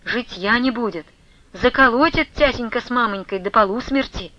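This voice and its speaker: Audiobook role: woman narrator as meek, frightened young maiden, anxious and bewildered, bright tone. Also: a little high, moderate pace, dry recording, highs cut off. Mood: frightened, despairing.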